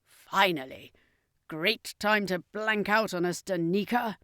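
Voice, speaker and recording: An urgent, bossy circus master who works magic, acted out by a woman reading a storybook. The delivery is forceful and emphatic, recorded close and dry. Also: medium pitch, takes it slowly, no echo. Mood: angry. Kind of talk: dramatised storybook character voice.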